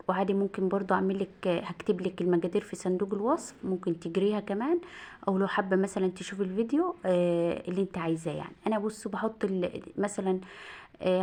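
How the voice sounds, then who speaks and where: Gravelly fast-talking Egyptian woman. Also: medium pitch, mellow. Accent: Egyptian Arabic. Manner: rambling, many fillers and restarts. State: voice a bit hoarse and tired. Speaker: cooking vlogger. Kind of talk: casual chat to viewers.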